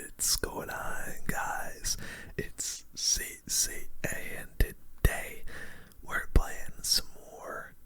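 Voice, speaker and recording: A host giving relaxed, casual game commentary entirely in a breathy whisper, in an ASMR style close to the microphone. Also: very slow. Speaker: gaming video host.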